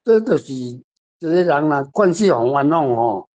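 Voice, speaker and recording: A slightly high-voiced older man with a Taiwanese accent talks casually as a guest author. He wanders through anecdotes and repeats phrases. The recording is a little muffled. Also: animated, moderate pace, darkish tone. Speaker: author guest discussing their story. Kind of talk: casual literary discussion.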